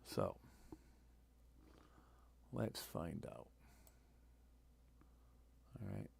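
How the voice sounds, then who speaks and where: A man from New England hosting a casual, unscripted livestream, halting and repeating himself as he thinks aloud. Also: deep voice, huge pitch swings, vocal fry, a little mellow. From New England, United States. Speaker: livestream host, radio scanner hobbyist. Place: room at home. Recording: noticeable background noise, home livestream.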